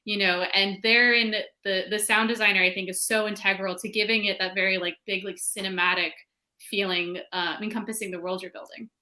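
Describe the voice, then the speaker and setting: Bright-voiced woman explaining on a panel. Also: mid-range voice, steady tone, a little fast. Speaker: panelist, fiction podcast creator. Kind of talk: casual explanatory panel talk.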